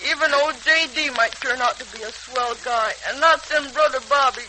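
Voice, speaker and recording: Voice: sits high, fairly quick, sharp tone, low-quality recording. A performer playing an earnest, plucky young boy in a 1940s radio drama. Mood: comforting. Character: Johnny, earnest young boy comforting his sister. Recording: hissy old radio recording.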